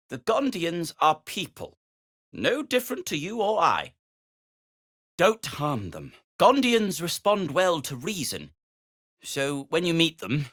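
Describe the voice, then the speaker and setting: A man's voice: extremely high pitch, pushed and bright, slightly rough, full character voice. A man playing an earnest, principled gnome in a video game, pleading and moralizing in articulate, emphatic phrases. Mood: gentle reproach. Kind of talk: dramatic video game dialogue acting.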